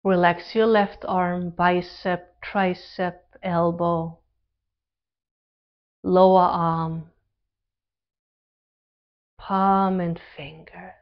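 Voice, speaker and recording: A woman leading a guided relaxation meditation as an instructor, speaking calm, gentle instructions in short phrases with pauses between them. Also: on the low side, muffled recording.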